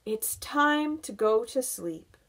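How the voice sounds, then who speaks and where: Elderly gentleman grasshopper, acted by a woman: storybook figure, very slow, dignified, withering. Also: mid-range voice. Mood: weary, firm. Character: scornful old male grasshopper, dignified musician.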